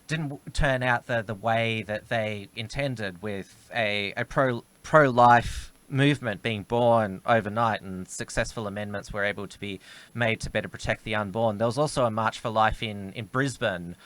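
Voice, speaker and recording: A man co-hosting a political talk stream, getting loud and emphatic when indignant. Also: on the low side, normal speed. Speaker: co-host of a political livestream.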